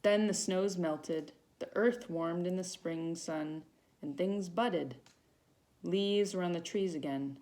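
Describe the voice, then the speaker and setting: A woman volunteer reads a children's picture book aloud in an expressive, storytelling manner. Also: fairly low pitch, slow, low-quality recording. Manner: dramatic emphasis on feelings, with short asides to young listeners.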